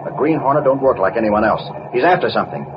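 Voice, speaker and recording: A nervous, suspicious mob boss played by a man in a 1940s radio drama. He talks quickly in tough, clipped slang at an average male pitch. The old recording is muffled and clearly noisy. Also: sing-song, close to an everyday voice. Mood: edgy, suspicious. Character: nervous, suspicious crime boss and crooked politician.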